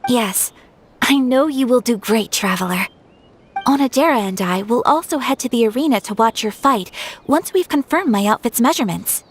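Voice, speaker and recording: A refined young noblewoman voiced by a woman speaks in a fairly high voice, polite and earnest. It is formal, gentle game dialogue with faint background noise. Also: animated, normal speed, lightly acted. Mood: polite, encouraging. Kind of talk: video game cutscene dialogue.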